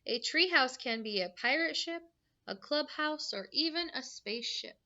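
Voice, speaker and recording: Very bright-voiced woman reading to children. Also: sits high, animated, moderate pace, dull audio. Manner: instructive, questioning, addressing young children. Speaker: teacher reading aloud to children. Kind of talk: children's picture book read-aloud with explanatory asides.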